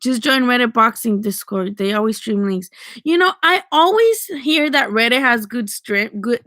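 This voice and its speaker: A woman's voice: a little high, expressive, normal speed, fairly bright tone, no room sound, studio quality. A young woman from Mexico with a Mexican accent, Spanish her first language, chatting casually on a livestream at home, opinionated, swearing freely and filling gaps with "um" and "like". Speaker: boxing streamer answering chat.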